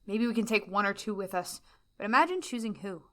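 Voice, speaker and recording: A woman's voice: mid-range voice, expressive, a little fast, bright, forceful tone. A woman acting in an audio drama, playing a jaded young woman who speaks with bitter insistence. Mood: grim.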